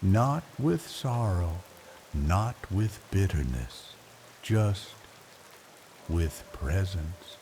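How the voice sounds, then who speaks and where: Older guy reading a wistful bedtime story, low mellow voice, super slow w long pauses, soft rain behind. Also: lively intonation, slight background noise. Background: soft rain ambience.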